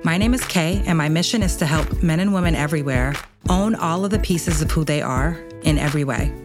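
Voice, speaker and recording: Low-voiced woman podcast host. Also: brisk, over music. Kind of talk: scripted intro then casual interview.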